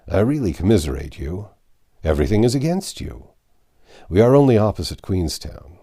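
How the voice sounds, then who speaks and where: A man's voice: sits low, big pitch swings, moderate pace, dark tone, heavily acted. A man voicing a brash, hearty American sea captain in an emphatic, blustery way for a storybook. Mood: sympathetic.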